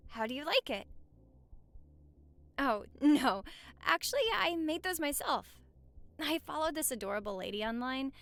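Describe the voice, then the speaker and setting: A woman's voice: sits high, gravelly, fairly bright tone, exaggerated voice. A woman playing an affectionate, teasing young wife in a cozy romantic audio roleplay, bantering sweetly and conversationally. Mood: playful, teasing.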